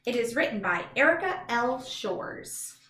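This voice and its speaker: Bright-voiced woman reading to children, roomy sound. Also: fairly high pitch, big pitch swings, unhurried, slight background noise. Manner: enthusiastic, instructive, addressing young children directly. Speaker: teacher reading a children's book aloud. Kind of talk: children's read-aloud with chatty explanatory asides.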